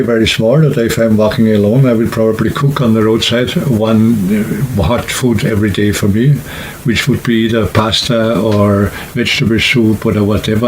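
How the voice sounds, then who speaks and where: A man talking casually and unscripted to camera, explaining things in a rambling, halting way like a vlogger. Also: lowish voice, a little hiss or hum, low-quality recording. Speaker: hiking vlogger and trip organizer.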